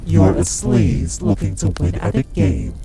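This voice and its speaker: A man's voice: sits low, lively intonation, normal speed, mellow, a little hiss or hum. A man playing a proud, condescending arch-demon casino owner in an audio roleplay, drawling and teasing with pet names. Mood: cold, scornful. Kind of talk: dramatic audio roleplay acting. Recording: music under the voice. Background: music.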